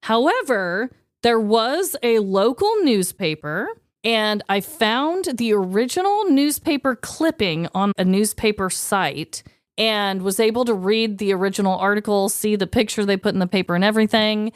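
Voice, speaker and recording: A woman with a smooth, clear voice of medium pitch, captured dry and close. She co-hosts a comedy crime podcast in an informal, reactive, chatty way. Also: expressive, moderate pace, dry recording. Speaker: podcast co-host. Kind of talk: casual true-crime comedy chat.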